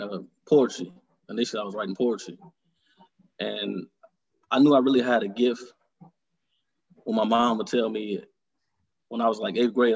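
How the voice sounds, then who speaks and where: Husky man, reflective. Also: fairly low pitch, highs cut off. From Detroit, Michigan. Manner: reflective and earnest, halting. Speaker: author, interview guest. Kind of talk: conversational interview.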